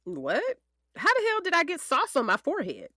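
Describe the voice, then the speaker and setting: A woman playing a sharp, determined defense attorney in an audio drama, sarcastic yet caring, with pointed theatrical delivery. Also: high-pitched, huge pitch swings, normal speed, no room sound, clean studio sound, heavily acted. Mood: playfully annoyed.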